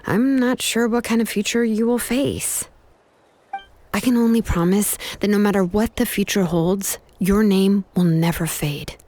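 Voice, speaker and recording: An actress playing a calm, reassuring young engraver and mentor in a video game story scene. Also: female voice, mid-range voice, slight background noise, close to an everyday voice. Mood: gently reassuring. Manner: calm, unhurried, gently reassuring. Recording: music under the voice.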